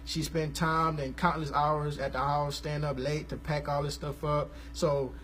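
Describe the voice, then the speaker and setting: A man, hosting a casual live stream about gospel music, talking in a relaxed, rambling, slangy way. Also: fairly high pitch, measured pace, slight background noise. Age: 20s.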